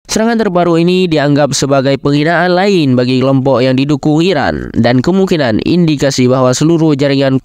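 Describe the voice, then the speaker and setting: A man's voice: medium pitch, a little mellow, smooth, dry recording, clean studio sound. A man reading scripted news narration in a formal, explanatory manner.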